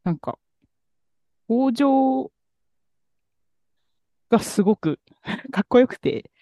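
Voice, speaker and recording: A man co-hosting a podcast, telling a story in a relaxed, rambling way with many fillers. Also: very high-pitched, takes it slowly, no room sound. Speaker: podcast co-host. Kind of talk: casual chat about music.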